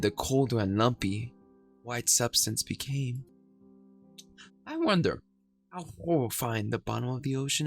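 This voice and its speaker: Slow dramatic man reading a story. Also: mid-range voice, vocal fry. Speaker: gaming streamer. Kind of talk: reading a horror game's story aloud.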